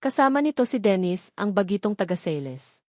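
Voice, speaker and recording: Female narrator, dramatic suspenseful storytelling, mid-pitch, dry close voice-over. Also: big pitch swings, brisk, darkish tone, dry recording.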